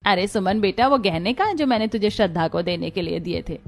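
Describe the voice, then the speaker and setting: A woman's voice: mid-range voice, expressive, brisk. A woman voicing a scheming, venomous mother-in-law in a dramatized family story, theatrical and manipulative. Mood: questioning.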